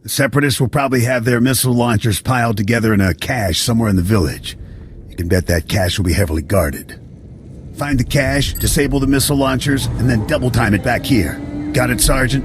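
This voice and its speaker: An actor playing an easygoing veteran army lieutenant and mechanic in a video game, with a folksy, chummy manner. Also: male voice, medium pitch, fairly quick, faint music behind, natural voice. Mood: brisk, encouraging.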